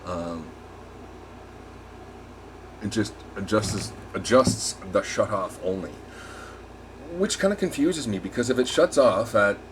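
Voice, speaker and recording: A man's voice: lowish voice, lively intonation, slow pace, pauses often, a little hiss or hum. A man giving a casual hands-on repair demonstration, rambling and thinking aloud as he works. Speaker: RV repair technician presenting a tutorial.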